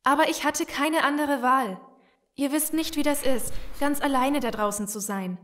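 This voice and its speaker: Audio drama: desperate young female turncoat, high voice, earnest and urgent. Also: moderate pace, fairly bright tone. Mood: pleading, bitter. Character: Sophie, desperate young woman betrayer seeking escape. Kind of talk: German audio drama acting.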